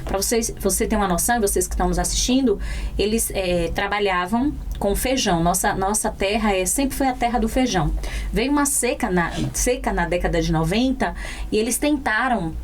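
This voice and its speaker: A woman's voice: mid-range voice, fairly quick, slight background noise. A woman of about forty from Bahia, a podcast guest telling her life story in a heartfelt way, sometimes stumbling over her words. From Bahia, Brazil. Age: 40s. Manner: warm, emotional, heartfelt storytelling with hesitant restarts. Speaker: guest, life and career mentor.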